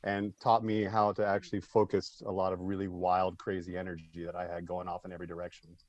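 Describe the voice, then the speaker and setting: A man's voice: sits low, level tone, fast talker. A man who writes and directs, giving casual, reflective interview answers on slightly dull-sounding audio. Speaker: playwright and director.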